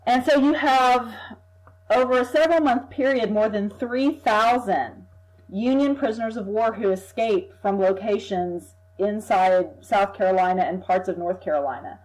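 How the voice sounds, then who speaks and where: A woman academic answers at length as a podcast guest, her voice sitting at a middle pitch. She talks in a measured, thoughtful way and sometimes repeats a word while she thinks. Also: darkish tone, poor audio. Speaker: history professor, guest. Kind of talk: expert explaining in an interview.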